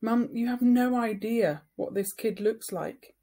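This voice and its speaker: A woman's voice: a little high, measured pace, dark tone, pitched above the performer's own voice. A woman voicing an exasperated, argumentative boy of about ten in a storybook, speaking emphatically and defensively.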